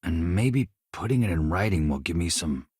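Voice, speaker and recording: A low-voiced, earnest ship's engineer. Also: male voice, animated, normal speed, darkish tone, husky, no room sound, clean studio sound. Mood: reflective. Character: earnest, weary male chief engineer, haunted survivor of a disaster. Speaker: starship crew engineer. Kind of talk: video game character dialogue.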